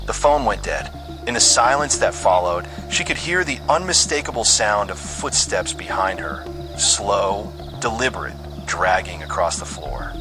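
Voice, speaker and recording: A man's voice: fairly low pitch, animated, measured pace, rough recording. A man reading a scripted horror story with dramatic, suspenseful delivery. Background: music under the voice.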